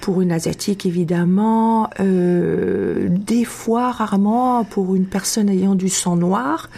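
A woman from France speaking as an interview guest, reflective and halting, with long thoughtful hesitations. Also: mid-range voice, animated, vocal fry, dark tone, breathy edge. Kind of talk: casual, reflective interview talk.

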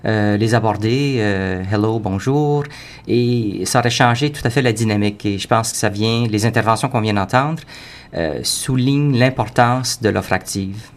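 A man who heads an organization, speaking as a talk-show guest, halting often on fillers. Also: lowish voice. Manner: hesitant, many fillers. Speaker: executive director guest. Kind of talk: panel discussion.

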